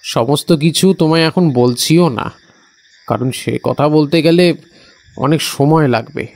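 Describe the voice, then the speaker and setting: A man playing an evasive village local who knows dark secrets, talking hesitantly and coaxingly in an audio horror story. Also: a little high, measured pace.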